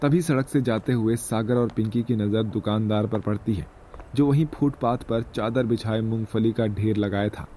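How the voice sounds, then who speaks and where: A man's voice: fairly low pitch, normal speed, dark tone, slight background noise. A man narrating a scripted moral story in Hindi storytelling style.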